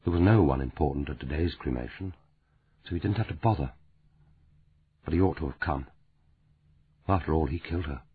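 A man voicing a grieving, bitter young woman of twenty-nine, speaking softly and quietly. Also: a bass voice, brisk, pauses often, a little hiss or hum. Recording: tinny phone-like sound.

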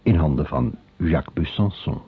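A man's voice: rumbling low, expressive, normal speed, phone-quality sound. A man reading out scripted radio play credits as the announcer.

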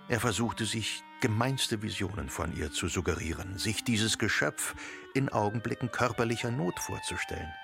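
A man narrating a radio drama in a literary, dramatic style, with deliberate phrasing and emphatic pauses. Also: fairly low pitch, vocal fry.

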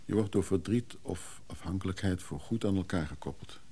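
Low, mellow guilt-ridden lover. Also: male voice, a little fast, slightly rough. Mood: tender, troubled. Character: guilt-ridden male lover of a new widow. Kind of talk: Dutch radio play acting.

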